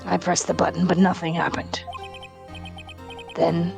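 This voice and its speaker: Vengeful male prosecutor villain for courtroom game dub, played by a woman: deep, deliberate, menacing, faint music behind. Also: monotone, creaky, darkish tone. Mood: brooding, gloating. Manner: theatrical, menacing, deliberate delivery. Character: vengeful, arrogant, aging male prosecutor villain confessing murder.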